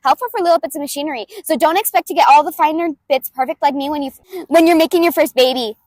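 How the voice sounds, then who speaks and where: A woman voicing a brash, excitable teenage girl inventor in an audiobook, with a loud, harsh voice pushed from the back of the throat. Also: high voice, fast talker, dry recording, pitched above the performer's own voice, lightly acted. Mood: eager. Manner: exuberant, forceful, bubbly. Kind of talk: audiobook character voice.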